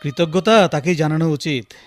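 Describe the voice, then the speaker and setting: Male actor as kindly admonishing doctor, fairly high voice, explanatory, radio play, faint music. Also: normal speed, close to an everyday voice. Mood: earnest. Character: earnest, talkative hospital doctor, kindly but admonishing. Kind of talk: Bengali radio drama acting.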